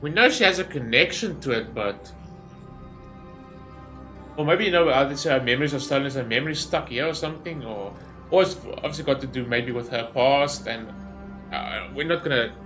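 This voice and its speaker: A male gaming streamer with a bright, forward voice at a middling pitch. He comments casually on a story as it plays, puzzling things out aloud, with music behind him. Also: moderate pace. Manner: thinks aloud in questions and speculation. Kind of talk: casual gameplay reaction commentary.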